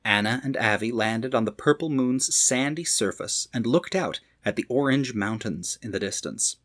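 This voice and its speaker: A man reading to children as a storyteller, expressive, with dramatic emphasis. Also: mid-range voice.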